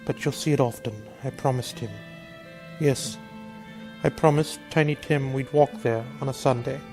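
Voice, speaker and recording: A man playing a gentle, grieving father in a radio drama, speaking tenderly and haltingly in sorrow. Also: medium pitch, steady tone, music in the background, close to an everyday voice. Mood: weary tenderness. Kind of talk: theatrical radio drama acting.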